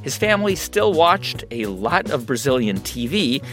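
A man hosts the program, moving from a scripted introduction into a loose, slightly hesitant interview. His pitch jumps around in a lively way, and soft music plays underneath. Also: moderate pace. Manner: animated, hesitant when asking questions.

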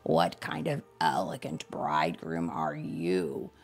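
Lady doing a snobby croc guy from a kids story, way deep n low, sneery. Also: big pitch swings, slow, faint music behind, exaggerated voice. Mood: scoffing. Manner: sneering, taunting. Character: scoffing, snooty male crocodile. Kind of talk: children's storybook read-aloud.

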